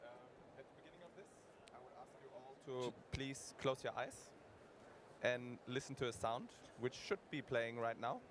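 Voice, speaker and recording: Engaging man pitching to a crowd. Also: expressive, normal speed. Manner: engaging, addresses the audience directly. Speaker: startup co-founder pitching. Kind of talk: conference pitch.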